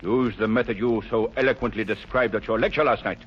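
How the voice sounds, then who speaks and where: A man's voice: lowish voice, fairly even intonation, fast, close to an everyday voice. A man acts out a ruthless villain in a 1940s radio thriller, domineering and short-tempered. He sneers and barks commands through a dull, noticeably noisy old broadcast recording. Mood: demanding. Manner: imperious, sneering, barking commands.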